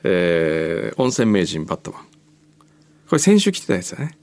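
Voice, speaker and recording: A man's voice: low-pitched, wildly swooping pitch, moderate pace, darkish tone. A man co-hosting a radio show, chatting casually and reading out listener mail.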